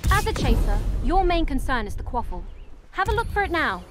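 A young woman sports player guiding beginners through a video game tutorial. Her voice is high and very animated, encouraging and instructive, with prominent music playing behind her. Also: fairly quick. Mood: eager, instructive.

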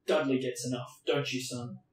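A man voicing a blustering, bad-tempered middle-aged uncle, slow and bellowing, in a roomy space. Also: medium pitch, steady tone, lightly acted. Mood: angry. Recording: roomy echo.

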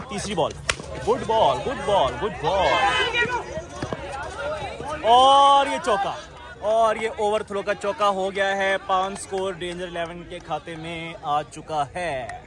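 A man with a fairly high voice calls an amateur cricket match ball by ball, getting excited on the big shots. He records on a phone at an outdoor floodlit ground. Player shouts and crowd chatter fill the background. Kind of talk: live ball-by-ball commentary.

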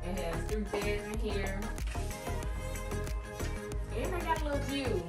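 A woman in her twenties talks casually to her vlog viewers in a mid-pitched voice. The sound is echoey, picked up on a handheld camera, with music behind her. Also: big pitch swings, a little slow. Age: 20s. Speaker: vlogger. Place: indoors in condo rooms and inside a car.

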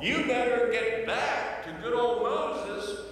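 An older man preaching a sermon in a large church sanctuary, telling the story with playful asides and lively voiced quotes. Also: very high voice, takes it slowly, crowd noise. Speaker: pastor. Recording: echoey hall from far off.

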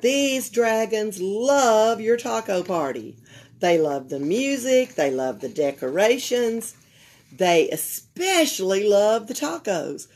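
An older woman with a Southern US accent and a slightly raspy voice reads a children's picture book aloud as a library storyteller, expressively, pausing to comment to young listeners. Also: mid-range voice, lively intonation, darkish tone. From Alabama, United States. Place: indoors at a library table.

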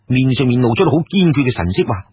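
A man with a Cantonese accent telling a dramatic story in an expressive way. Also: medium pitch, fast talker, husky, dry recording. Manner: expressive, acts out dialogue. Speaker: storyteller, narrator. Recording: muffled, narrow radio-like, phone-grade audio.

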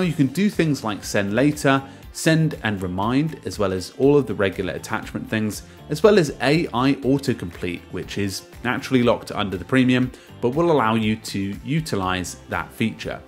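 A man presenting a casual software review as a screen-share voice-over, explaining step by step, starting sentences with emphasis and trailing off at the ends. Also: mid-range voice.

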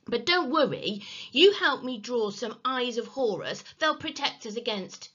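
A woman's voice: a little high, lively intonation, measured pace, pushed and bright, husky, lo-fi sound, pitched above the performer's own voice, exaggerated voice. A woman as a sly, bossy, blustering boy. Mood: conspiratorial. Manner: blustering, wheedling, melodramatic. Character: sly, bossy, mischievous boy, older brother. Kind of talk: children's storybook reading.

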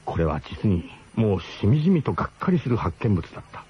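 A man's voice: fairly low pitch, big pitch swings, fairly quick, husky. A man narrating a radio drama in the first person, in a colloquial, wryly literary storytelling manner. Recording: dull, slightly noisy old radio broadcast.

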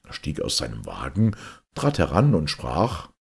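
A male storyteller reads a fairy tale in a strikingly husky, gravelly voice. He takes his time over the phrases and gives the scripted narration an expressive, dramatic shape. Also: very deep voice, a little slow. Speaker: storyteller reading fairy tales aloud.

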